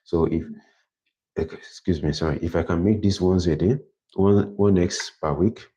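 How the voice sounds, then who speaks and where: A man with a deep voice hosting a livestream, thinking aloud in a casual, rambling way and correcting himself as he goes. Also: fairly even intonation, measured pace. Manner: rambling, self-correcting, plans out loud. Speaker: livestream host. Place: quiet indoor room. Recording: dull lo-fi livestream audio.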